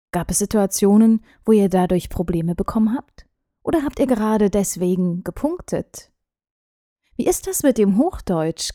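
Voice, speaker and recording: Woman coach, mellow voice, measured and trained delivery, dry close sound. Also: medium pitch, dry recording. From Thuringia, Germany. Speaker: speech and charisma coach. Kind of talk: scripted talk to camera.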